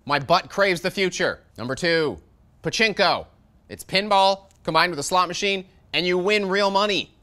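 A man's voice: animated, moderate pace, sharp tone. A man in his thirties hosting a metal review show, delivering a jokey scripted review from a studio set. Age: 30s. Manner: sarcastic, jokey, wide swings in pitch. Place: studio set with shelves of records.

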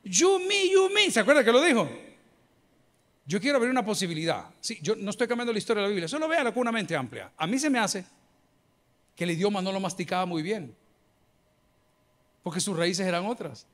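Salvadoran male pastor, middle-aged, high voice, animated sermon delivery on handheld mic. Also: fairly quick, pauses often. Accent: Salvadoran Spanish. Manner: lively preaching, bursts of mimicry and humor. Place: large church auditorium with a crowd. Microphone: handheld microphone.

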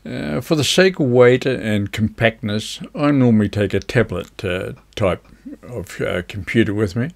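Older New man, lowish voice. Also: measured pace, vocal fry. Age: older, around 70s. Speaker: amateur radio operator, video presenter. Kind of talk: informal instructional talk to camera. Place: indoors, seated before a virtual beach backdrop.

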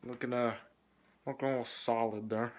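A young man hosting a homemade comedy cooking show in a family kitchen, deadpan and casually swearing. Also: medium pitch, brisk, noisy background. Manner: deadpan, swears casually, switches between bickering and cooking instructions. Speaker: comedy cooking-show host playing both himself and a rival. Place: family home kitchen and hallways, indoors.

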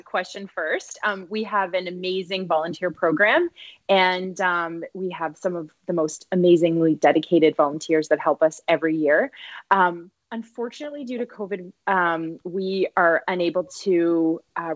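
A woman's voice: on the low side, no echo. A woman in her thirties chatting and explaining casually as a staff panelist on a video call. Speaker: rescue centre staff panelist. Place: indoor room, video call.

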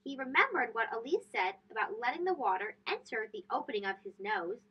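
Woman, children's read-aloud, high-pitched, expressive storyteller, swooping intonation, slightly muffled recording. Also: a little fast, husky. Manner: expressive, storytelling delivery with emphasis on key words. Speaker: storybook reader and host. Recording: dull lo-fi sound.

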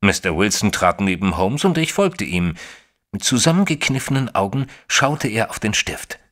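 An actor playing a thoughtful detective's companion who narrates an audio drama in a measured, careful way. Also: male voice, on the low side, big pitch swings, fairly bright tone, long reverb tail. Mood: narrating. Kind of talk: scripted first-person audio drama narration.

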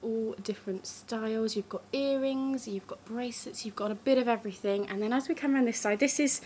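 English woman, upbeat shop-tour vlogger, higher voice, mask-muffled, handheld phone in a small shop. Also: brisk, darkish tone, slight background noise. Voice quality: slightly muffled by a face mask. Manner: enthusiastic, gushing praise. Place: small gift shop indoors. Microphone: phone camera held while walking.

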